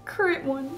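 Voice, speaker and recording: A young woman playing a tabletop roleplaying game, speaking casually. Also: very high-pitched. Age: young adult. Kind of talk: casual, reacting to play. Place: indoor studio set, seated at a table. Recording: clear music behind the voice.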